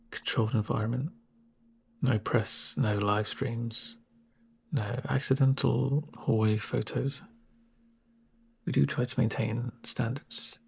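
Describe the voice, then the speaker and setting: Man playing a breathy, slow-talking calm male medic. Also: medium pitch, exaggerated voice. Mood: dryly amused. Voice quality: breathy, near-whispered. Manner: gentle, unhurried, reassuring murmur. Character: calm, soft-spoken male medic with dry dark humour. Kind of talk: soft-spoken ASMR roleplay.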